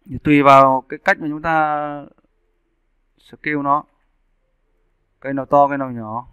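Bright-voiced man teaching. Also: mid-range voice, fairly even intonation, moderate pace, clear, resonant tone, no room sound, highs cut off. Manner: explains step by step, often checking with listeners. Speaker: 3D animation instructor. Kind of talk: casual software tutorial narration. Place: indoors, screen-recording voice-over.

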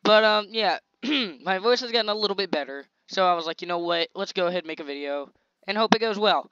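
A young man hosting his own gaming channel, commentating gameplay in a chatty, slangy, excitable way, his voice a little scratchy. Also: sits high, quick pace, clear, resonant tone, no room sound, highs cut off. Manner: excitable, chatty, slangy hype while playing. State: slightly scratchy voice.

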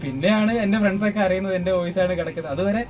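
Brief: male, high voice, rapid casual interview delivery, music clearly behind. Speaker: interviewee, sound engineer and dubbing artist. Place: small dubbing studio suite.

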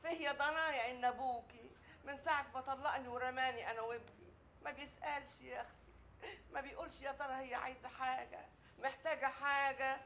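A woman's voice: sits high, pushed and bright, a little hiss or hum. An actress playing an older divorced mother who nags and curses her ex-husband, with an Egyptian accent. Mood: complaining. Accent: Egyptian Arabic. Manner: nagging, cursing, mocking. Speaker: Umm Mar'i, ex-wife. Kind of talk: radio drama acting.

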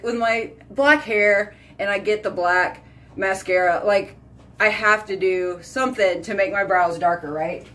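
A woman, chatting casually to a phone camera at home as a beauty vlogger, talking in a lively, filler-heavy way. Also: mid-range voice. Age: 30s. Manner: chatty, animated, lots of "like" and "y'all". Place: small bedroom or bathroom at home. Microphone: phone camera at arm's length.

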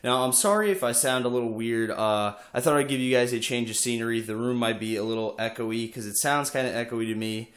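A man's voice: medium pitch, fairly quick, few pauses, fairly bright tone. A young man with a cold and a stuffy nose, chatting casually with lots of "uh" fillers while showing off his game collection in an echoey room at home. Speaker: video game collector vlogger. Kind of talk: casual show-and-tell.

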